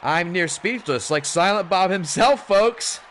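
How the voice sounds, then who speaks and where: Hoarse, animated male game commentator. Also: high voice, a little slow, slight background noise. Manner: animated, chatty hype. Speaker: streamer commentating a wrestling game. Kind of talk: play-by-play commentary.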